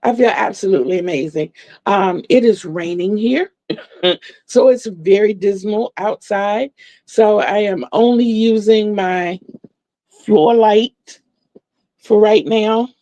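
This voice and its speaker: A woman's voice: mid-range voice, slow pace, mellow, no room sound. A woman in her sixties chatting casually to viewers from home, laughing often and repeating words. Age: 60s. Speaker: livestream vlogger. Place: home room lit by a floor lamp.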